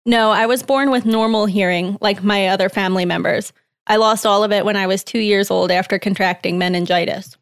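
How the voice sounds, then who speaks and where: A woman reading a guest's typed interview answers aloud in a measured, plain way. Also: mid-range voice, steady tone, smooth, no echo, studio quality.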